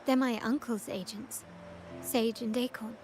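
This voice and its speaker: An actress playing a composed, elegant young woman of a crime family in a video game, measured and articulate. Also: a little high, steady tone, darkish tone, lightly acted. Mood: cool, poised. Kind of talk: video game character acting. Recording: game music underneath.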